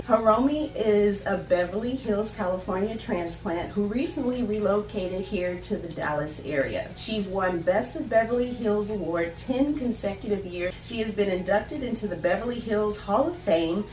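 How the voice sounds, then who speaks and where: A woman's voice: medium pitch, darkish tone. A woman hosting an interview, reading a guest introduction and then asking questions in a chatty, friendly way. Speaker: host, realtor. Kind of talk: casual interview. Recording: roomy echo, distant mic, music behind.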